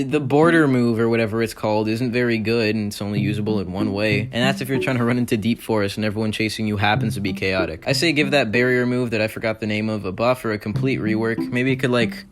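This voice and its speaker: Exasperated young man over music. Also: mid-range voice. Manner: exasperated, blunt, slangy. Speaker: gaming video creator. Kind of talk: opinionated game commentary voice-over.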